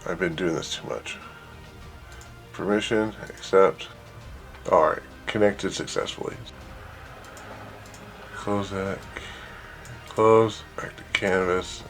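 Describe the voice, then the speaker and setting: A man presenting an informal software tutorial, thinking aloud as he works through each step. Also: deep voice, takes it slowly, long pauses, faint music behind. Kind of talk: casual screen-share walkthrough.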